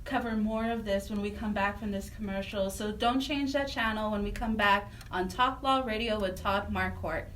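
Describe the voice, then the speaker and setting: A woman's voice: medium pitch, moderate pace, few pauses, a bit bright. An American woman co-hosting a radio interview show, talking in a presenter's style. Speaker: radio co-host. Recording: slightly distant mic, faint noise.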